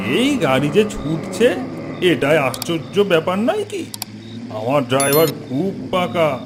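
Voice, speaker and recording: A man's voice: fairly high pitch, wildly swooping pitch, unhurried, noisy background. A man playing a smug, mocking ghost doctor with a taunting, theatrical manner in an audio horror story.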